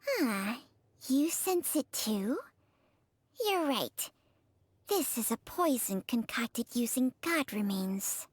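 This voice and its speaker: A woman voicing a matter-of-fact female companion who speaks slowly, in a video game. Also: on the high side, big pitch swings, slightly rough, no room sound, heavily acted. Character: soft-spoken female companion to a doctor.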